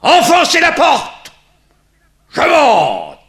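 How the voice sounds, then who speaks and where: A man's voice: very high voice, huge pitch swings, very slow, a bit bright, full character voice. A man playing a bullying, impatient police chief who barks orders in a French radio drama. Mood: barking, furious. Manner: barking orders, blustering.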